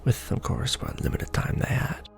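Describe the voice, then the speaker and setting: A man playing a courtly, ancient vampire lord in an ASMR roleplay, speaking intimately and formally in a gravelly, often breathy half-whisper with measured pauses. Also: lightly acted. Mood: explaining, solemn. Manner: formal, archaic wording, measured delivery with pauses. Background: music.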